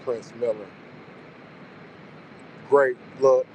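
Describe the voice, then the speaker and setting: A man's voice: mid-range voice, steady tone, extremely slow, dull audio, background noise. A man in his forties talking casually in a loose, reflective monologue, often saying "you know what I'm saying". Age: 40s. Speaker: vlogger.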